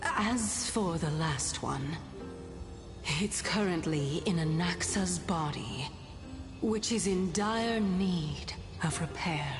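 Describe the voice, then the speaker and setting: A woman voicing an ancient, sly god of reason in a video game, formal and measured with a teasing, knowing edge. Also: fairly low pitch, expressive. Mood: solemn, composed. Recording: long reverb, music behind.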